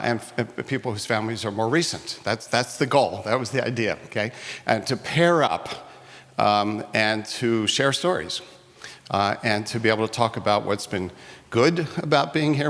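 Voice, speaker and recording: A man's voice: mid-range voice, fairly bright tone. A man moderating a live community workshop, chatty and joking, with many restarts. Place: community hall, live event. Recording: long hall reverb, faint noise.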